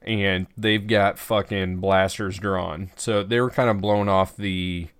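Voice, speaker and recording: A man's voice: sits low, steady tone, slow, a bit bright, clean tone, no room sound. A man from the American Midwest co-hosting a casual, profane review podcast, talking at length in a laid-back way. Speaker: podcast co-host.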